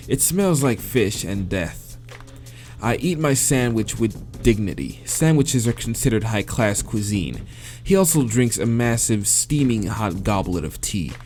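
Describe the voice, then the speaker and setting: Guy reading a game out loud, lowish voice, cusses a lot, faint music in back. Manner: swears and laughs between read lines. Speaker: let's play reader. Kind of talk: reading a visual novel aloud with commentary.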